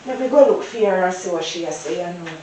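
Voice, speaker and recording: A woman playing an earnest, soft-hearted Jamaican churchgoer in a drama, speaking plaintively and repeating herself. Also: on the low side, lively intonation, fast, distant mic, lightly acted. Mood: weary, despondent. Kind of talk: acted comedy drama dialogue. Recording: very echoey room with faint noise.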